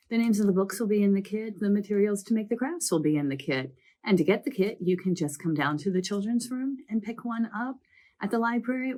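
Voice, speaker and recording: A croaky woman with a summer cold, a children's librarian presenting a library program and telling the stories from each book in a chatty, lively way. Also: mid-range voice. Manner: chatty, lively storytelling delivery.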